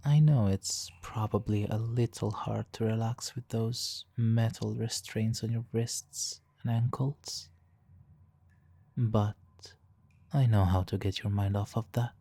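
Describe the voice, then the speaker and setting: A man playing a suave, teasing, hypnotically controlling supervillain in a soft, intimate ASMR roleplay, condescending and purring, breathy in places. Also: lowish voice, steady tone, no echo, heavily acted. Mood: smug, teasing. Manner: condescending, purring, drawn-out pauses, soft chuckles.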